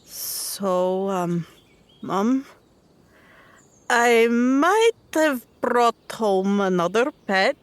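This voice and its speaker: A woman's voice: a little high, lively intonation, extremely slow, no echo. A woman voicing a tough, blunt young warrior in a tabletop game, hesitant and wry, anxious for her mother. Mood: tender, hesitant.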